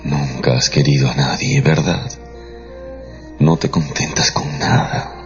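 A man's voice: rumbling low, steady tone, measured pace. An actor playing a possessive, jealous married count, besotted with his mistress, in a grave and intense radio drama style. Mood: wounded, stern. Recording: dull radio production with music underneath.

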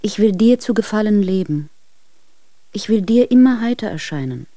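Mellow, yearning woman in love, acted. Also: medium pitch, expressive, moderate pace, dry recording, clean studio sound, lightly acted. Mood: sorrowful. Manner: intimate, earnest, tender. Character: devoted, yearning, sorrowful woman in love with poet. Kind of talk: German radio drama, literary letter reading.

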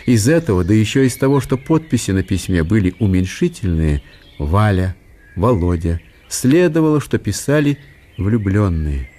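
A man's voice: lowish voice, sing-song, normal speed, warm tone. A man reading scripted literary narration for a radio drama.

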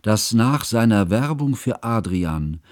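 An actor playing a sad, elderly scholar who narrates in a formal, reflective, literary manner for a radio drama. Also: male voice, fairly low pitch, lively intonation, slow, darkish tone, dry recording, clean studio sound. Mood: measured, wry. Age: older. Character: sad, elderly scholarly man recounting a friend's tragedy. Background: music.

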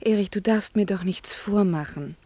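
An actress in a German radio play playing a worried, earnest doctor's wife with a measured, gently reproachful manner. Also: female voice, mid-range voice, dry recording, close to an everyday voice. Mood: worried, pleading.

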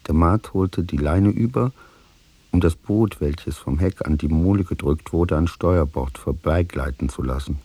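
An actor playing a sober chronicler in a radio play, reading notebook entries in a measured, husky voice. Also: male voice, low voice, warm tone, no echo, exaggerated voice. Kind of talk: scripted literary radio-play narration.

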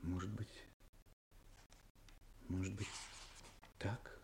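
An actor playing a restless, bookish young village schoolteacher in a 1960s radio drama, pensive and halting in delivery. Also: male voice, low-pitched, level tone, darkish tone, heavily acted. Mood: brooding, perplexed. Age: young adult. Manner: pensive, halting, reciting verse.

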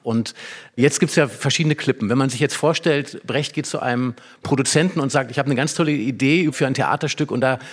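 A man's voice: mid-range voice, a little fast, husky. Conversational man explaining to an audience. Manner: conversational, illustrates with imagined dialogue. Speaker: theatre expert on a panel. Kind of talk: explanatory public talk.

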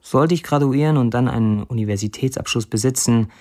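An actor plays a wry young man with a middle-pitched voice. He delivers a blunt, dry first-person monologue in a matter-of-fact way, as in a radio play. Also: a little fast, darkish tone, close to an everyday voice. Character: wry 29-year-old Syrian man narrating his blunt online posts.

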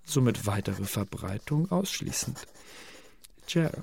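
Radio drama: bureaucratic intelligence clerk, dry official delivery, mid-range voice, light background noise. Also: male voice, mid-range voice, big pitch swings, slow, vocal fry, darkish tone, exaggerated voice. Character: official male intelligence clerk annotating secret correspondence. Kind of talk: radio play scripted narration.

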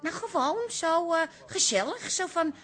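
High-pitched, bright, frank café wife, chatty and expressive, radio comedy. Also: female voice, measured pace, faint music behind, heavily acted. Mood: chatty, exasperated. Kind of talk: naturalistic radio comedy acting.

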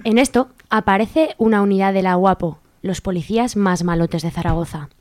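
A woman's voice: mid-range voice, moderate pace, very noisy. A woman narrating a comic radio sketch in a wry, slangy manner.

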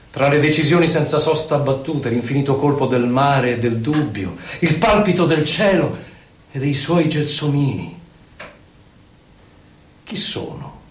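A man gives a solemn, theatrical poetry recital, declaiming in short phrases with pauses between them. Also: mid-range voice. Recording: echoey, distant, noisy live radio broadcast.

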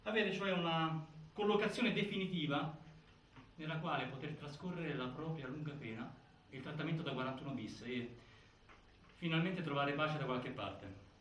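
A sober, formal man, played by an actor delivers measured testimony in a stage drama. His slightly high voice sounds distant in an echoey room, over faint noise. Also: a bit bright, natural voice. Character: sober man reporting a prisoner's requests. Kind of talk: theatrical testimony monologue.

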